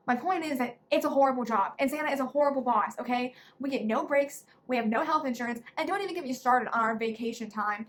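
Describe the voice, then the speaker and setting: A woman's voice: high-pitched, fairly bright tone. A teenage woman playing a disgruntled former Christmas elf in a chatty, sarcastic comic monologue. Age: teens, high school senior. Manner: chatty, sarcastic, addressing the listener directly. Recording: faint background noise, amateur recording.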